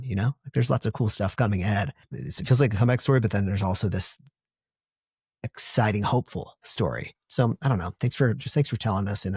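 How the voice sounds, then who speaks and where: A man's voice: lowish voice, a little mellow, husky, no room sound, clean studio sound. A man hosting a podcast, encouraging and enthusiastic, often stumbling and repeating words. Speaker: podcast host. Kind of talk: casual interview.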